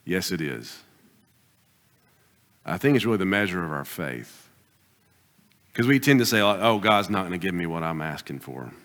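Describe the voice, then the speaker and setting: A man preaching a sermon as pastor, pressing his points with rising bursts and then dropping to quiet, deliberate asides. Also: low voice, sing-song. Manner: emphatic preaching, swings between loud exhortation and quiet asides. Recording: long hall reverb.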